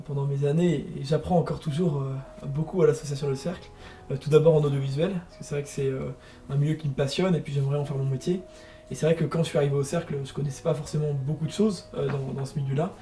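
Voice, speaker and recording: Very mellow young man, echoey and distant. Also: on the high side, steady tone, fast. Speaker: work-study trainee. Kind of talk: interview testimonial. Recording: echoey, distant mic, music behind.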